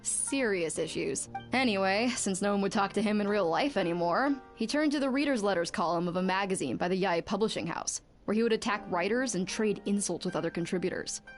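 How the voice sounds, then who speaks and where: A woman's voice: medium pitch, a little fast, hardly any pauses, natural voice. Blunt, exasperated female game character, acted by a woman, wry and matter-of-fact, music behind. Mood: wry, dismissive. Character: blunt, exasperated woman gossiping about a troublesome patient. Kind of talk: video game dialogue acting.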